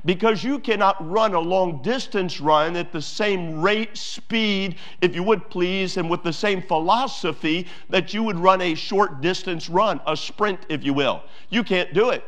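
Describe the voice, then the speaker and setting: A man's voice: high voice, measured pace, hardly any pauses, fairly bright tone. A pastor preaching a sermon to his church, emphatic, with repeated phrases and many fillers.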